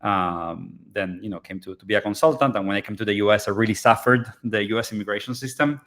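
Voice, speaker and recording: Low-voiced hesitant Argentine-born man. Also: fast talker, a bit bright. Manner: hesitant, many fillers and restarts. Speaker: immigration startup founder. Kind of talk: live online interview.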